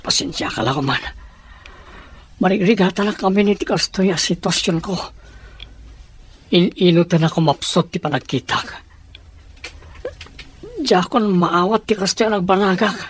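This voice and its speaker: A man's voice: sits high, normal speed, long pauses, breathy edge. A man playing a weary, despairing father and husband in an Ilocano radio drama, with an Ilocano accent.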